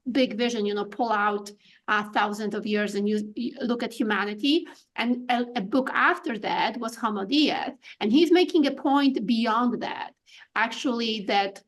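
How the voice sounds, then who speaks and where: Polish-accented woman, higher voice. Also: a bit bright. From Poland. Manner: thoughtful, many fillers and restarts. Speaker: guest artist. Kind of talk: podcast interview.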